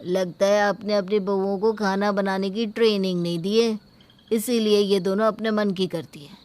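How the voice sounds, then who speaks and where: A woman's voice: medium pitch, fairly even intonation, normal speed, darkish tone, a little hiss or hum, natural voice. Woman putting on a demanding, grumbling houseguest. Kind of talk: Hindi cartoon story acting.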